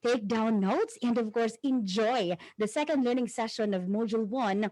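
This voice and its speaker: A woman's voice: fairly high pitch, normal speed, mellow, no echo, poor audio. A woman with a Filipino accent hosting an online class session, prompting participants and switching between languages. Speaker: host of an online radio school session. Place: online video call.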